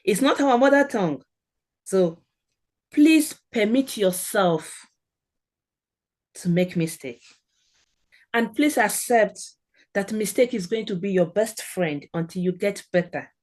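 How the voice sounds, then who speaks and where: A woman's voice: medium pitch, expressive, slow pace, pauses often, darkish tone. A middle-aged woman with a Nigerian accent, a guest on a podcast, giving earnest encouraging advice in short phrases. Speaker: guest, German language expert. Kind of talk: podcast interview.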